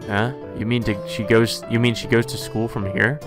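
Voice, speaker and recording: A man's voice: mid-range voice, little pitch movement, normal speed, close to an everyday voice. A man playing a brooding, easily puzzled teenage boy who narrates a visual novel introspectively over music.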